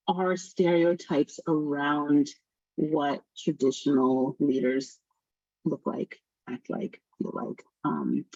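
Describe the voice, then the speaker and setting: Husky woman, hesitant and thoughtful. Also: low-pitched, dark tone, no room sound. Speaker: panelist. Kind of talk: reflective panel discussion. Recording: dull lo-fi sound.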